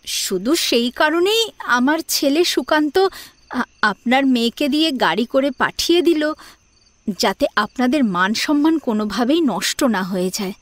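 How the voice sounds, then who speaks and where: A woman acts a courteous middle-aged mother in a family audio drama. Her fairly high voice is measured, formal and a little hesitant, closely recorded with little echo. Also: animated. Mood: polite, measured. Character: polite, composed middle-aged lady, a suitor's mother.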